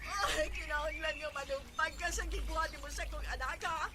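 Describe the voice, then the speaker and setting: In a very noisy recording, a woman plays a middle-aged Bisaya mother in radio drama. Extremely high-pitched, she scolds and insists in a Cebuano accent. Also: fairly even intonation, normal speed, close to an everyday voice. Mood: stern, demanding. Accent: Filipino, Cebuano Bisaya. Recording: very noisy audio with ambient sound.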